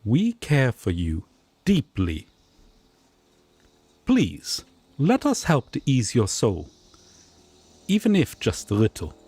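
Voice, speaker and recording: A husky male angel played by a man for a comfort roleplay, formal and old-fashioned in phrasing. He speaks slowly with big swings in pitch, tender and earnest yet quick to take offence. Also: wildly swooping pitch, a little mellow, dry recording, heavily acted. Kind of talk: romantic comfort audio roleplay.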